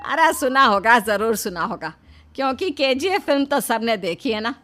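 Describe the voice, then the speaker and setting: Scolding high-pitched mother-in-law, played by a woman. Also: lively intonation, moderate pace, fairly bright tone. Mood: proud scolding. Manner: scolding, emphatic. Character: indignant middle-aged mother-in-law proudly defending her daughter-in-law. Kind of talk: Hindi cartoon story dubbing. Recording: background music behind the voice.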